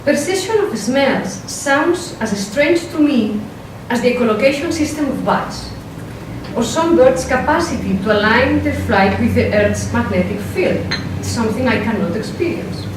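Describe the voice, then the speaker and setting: A woman philosopher with a strong Spanish accent giving a workshop talk, careful and measured, with hesitant fillers when she speaks off the script. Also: a little high, animated. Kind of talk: academic lecture, partly read from a script. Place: lecture room. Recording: echoey lecture hall, mic from a distance, noisy.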